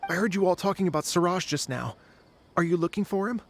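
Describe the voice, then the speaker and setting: Polite, modest scholar, gravelly and a little high. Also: male voice, fast talker, a little hiss or hum. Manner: polite, informative and self-effacing. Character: humble male scholar passerby, helpful.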